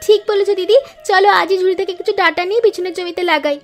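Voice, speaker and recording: Cartoon younger sister, female actor: tiny, very high voice, sweet, eager, affectionate; music bed. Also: very fast. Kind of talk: Bengali cartoon moral-story dialogue.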